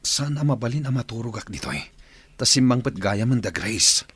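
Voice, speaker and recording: A man's voice: mid-range voice, expressive, normal speed, fairly bright tone, husky. A man acting in a radio drama as a flustered, evasive cheating husband who hesitates and placates. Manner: hesitant, stammering excuses.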